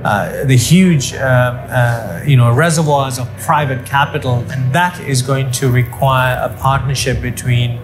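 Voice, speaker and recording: A middle-aged man from Sri Lanka, an official, speaking in a measured way to camera with frequent ums and uhs. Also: medium pitch, music in the background. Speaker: development program official. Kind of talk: to-camera interview.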